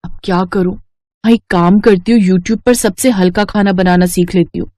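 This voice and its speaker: A woman's voice: mid-range voice, steady tone, normal speed, dark tone, no echo, clean studio sound, natural voice. A woman voicing a hapless young daughter-in-law, anxious and complaining, in a cartoon story. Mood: worried. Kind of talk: Hindi cartoon story dialogue.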